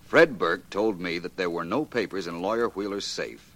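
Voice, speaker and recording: An American man playing a shrewd, wry detective in a 1940s radio drama, speaking deliberately and with self-assurance. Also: low voice, fairly bright tone. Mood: confident explaining. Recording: vintage 1940s radio recording.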